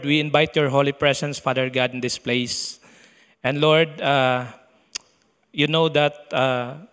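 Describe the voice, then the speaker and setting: A man with a Filipino accent and Tagalog as his first language, preaching at a church with a halting, slightly nervous, reverent manner, recorded in a reverberant hall. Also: fairly high pitch, pushed and bright, clean tone. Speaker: church preacher, first-time guest speaker. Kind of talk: bilingual sermon with prayer and scripture reading.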